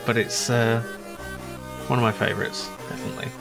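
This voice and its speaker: A man's voice: mid-range voice, level tone, fairly quick, vocal fry, over music. A man giving casual, musing game commentary as a let's play host, hesitant and lightly amused.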